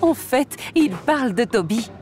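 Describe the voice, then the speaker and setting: A woman's voice: a little high, very animated, unhurried. A woman reading scripted narration for a children's story. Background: music behind the voice.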